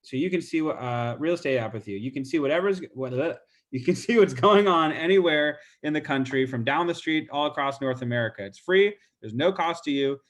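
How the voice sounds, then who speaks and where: A real estate agent with a stuffy nose, casually walking through a call script with lots of fillers. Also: male voice, fairly high pitch, expressive, fairly quick. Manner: casual, chatty, many fillers. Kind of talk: role-play training demo.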